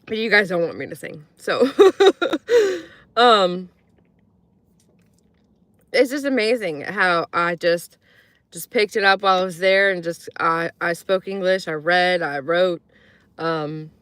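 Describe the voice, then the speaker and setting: A woman's voice: on the low side, takes it slowly, long pauses, fairly bright tone, dry recording. A woman from Croatia chatting casually to a live audience, rambling with many fillers and acting out quoted voices in her stories. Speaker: live streamer. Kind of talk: casual storytelling to a live chat.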